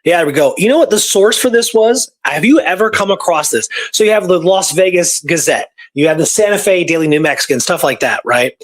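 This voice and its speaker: A man's voice: high voice, animated, fairly quick, hardly any pauses. A man talking casually as a history researcher, sharing findings in an informal storytelling manner. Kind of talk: casual conversational history discussion.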